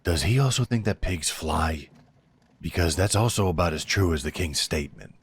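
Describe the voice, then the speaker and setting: A man acts a duke's loyal werewolf right-hand man in a fantasy audio drama. The voice is very low and husky. He talks bluntly and familiarly, with a sardonic, defiant streak. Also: animated, normal speed, full character voice. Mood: indignant.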